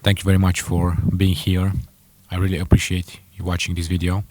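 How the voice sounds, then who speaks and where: A young man with a Romanian accent talks casually about his own path, often stopping and correcting himself mid-sentence. His voice sits very low. The sound is dry and close, with no room around it. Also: monotone, a little mellow, no echo. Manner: halting, many fillers and self-corrections. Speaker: entrepreneur vlogger. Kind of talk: casual personal story to camera.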